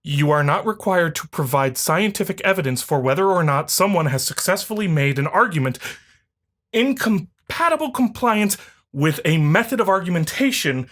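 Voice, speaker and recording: Exasperated, sarcastic woman with a husky edge. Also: fairly low pitch, fairly bright tone. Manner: exasperated, sarcastic, foul-mouthed. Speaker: online video commentator. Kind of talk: off-the-cuff sarcastic rebuttal to a comment.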